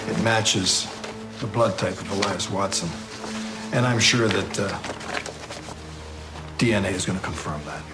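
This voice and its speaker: A police detective with a low voice, dry and methodical. He speaks formally and matter-of-factly, as in a procedural radio drama, with music underneath. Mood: measured, probing. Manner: measured, formal, matter-of-fact.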